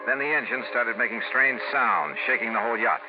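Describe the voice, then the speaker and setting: An actor playing a hardboiled, wry insurance investigator who narrates in clipped 1950s radio detective style. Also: male voice, mid-range voice, a little fast, music in the background, lightly acted. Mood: wry, probing. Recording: tinny vintage radio recording.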